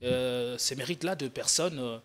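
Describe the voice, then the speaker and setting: A young man hosting a political TV interview show, animated and energetic as he introduces his guest and asks questions. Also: medium pitch, normal speed. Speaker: TV talk show host. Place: TV studio. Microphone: desk microphone.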